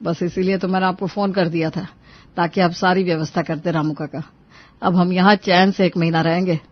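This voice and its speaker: A woman's voice: fairly low pitch, fairly even intonation, fairly quick, no room sound, highs cut off, close to an everyday voice. A woman voicing a fussy, demanding husband in a cartoon family story. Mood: businesslike. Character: fussy, demanding husband, adult office worker. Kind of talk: cartoon family drama acting.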